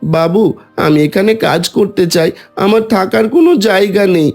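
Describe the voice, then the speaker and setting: Humble orphan boy, played by a man: very high for a male, earnest, loyal, music bed. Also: moderate pace, a little mellow. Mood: meek. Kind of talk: Bengali animated story voice acting.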